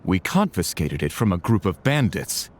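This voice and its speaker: A man's voice: low-pitched, big pitch swings, moderate pace, slight background noise. A man playing an earnest, devout guardsman in a game cutscene, formal and emphatic. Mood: indignant. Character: earnest, indignant devout guardsman of a moon order. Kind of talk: video game cutscene acting.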